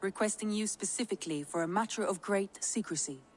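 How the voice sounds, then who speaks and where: An actress playing a loyal, measured adviser to a Viking leader in a video game, formal and cautioning. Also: female voice, medium pitch, lightly acted. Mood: advising. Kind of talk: video game cutscene acting.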